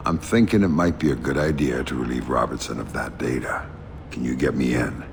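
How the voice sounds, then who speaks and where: Very deep gravelly covert operative. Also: male voice, brisk, background noise. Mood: interrogating, curt. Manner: terse, dry, commanding. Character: gruff, world-weary middle-aged male covert operative. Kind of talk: video game cutscene acting. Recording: loud music behind the voice.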